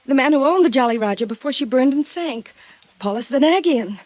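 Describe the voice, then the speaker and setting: Anxious young woman, secretary, highish voice, breathless melodrama, dry studio sound, 1950s radio. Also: expressive, fairly quick, darkish tone, no room sound, highs cut off. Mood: tense, earnest. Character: frightened, earnest young female secretary seeking protection. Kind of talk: 1950s radio drama acting.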